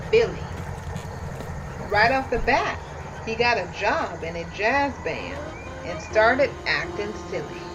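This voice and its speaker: A woman reading a children's story aloud as host, expressive and dramatic, drawing out key words for emphasis. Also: a little high, very noisy. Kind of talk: read-aloud children's picture book narration.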